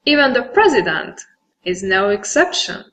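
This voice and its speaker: A woman's voice: mid-range voice, big pitch swings, normal speed, fairly bright tone. A woman with a Hungarian accent, a non-native English speaker, giving a conference presentation and then answering questions with some hesitation. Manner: earnest, occasional hesitations. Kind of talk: presentation with audience Q&A.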